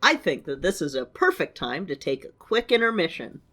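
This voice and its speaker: A woman's voice: deep voice, very animated, brisk, sharp tone. A woman co-hosting a podcast with enthusiastic, casual banter. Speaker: podcast co-host.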